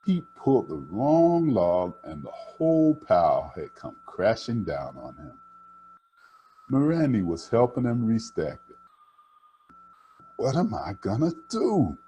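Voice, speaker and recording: An older man reading a children's storybook aloud, with deliberate, dramatic phrasing. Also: fairly low pitch, sing-song, dark tone, lo-fi sound, faint music behind. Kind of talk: expressive read-aloud storytelling.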